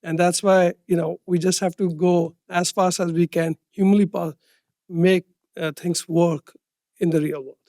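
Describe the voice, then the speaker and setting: A man's voice: high voice, little pitch movement, a little slow, warm tone, dry recording, clean studio sound. A man speaking as a company executive, explaining in answers on an earnings call and checking with short tag questions.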